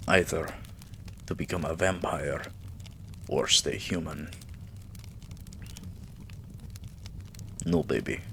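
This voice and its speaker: A devoted vampire king, played by a man murmuring to his beloved in an intimate ASMR roleplay. The voice is very low, slow and gravelly, with breathy affection in every phrase. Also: slight background noise, exaggerated voice. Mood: tender, earnest. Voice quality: breathy, often slipping into whisper. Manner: hushed, slow, soothing, affectionate.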